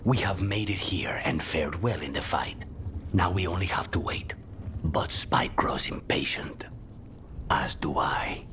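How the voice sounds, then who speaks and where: An actor playing a grim, honour-bound assassin in a fantasy game, terse and intense, with a breathy delivery. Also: male voice, sits low, a little slow, fairly bright tone, whispered. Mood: grim, impatient. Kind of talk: scripted fantasy game dialogue.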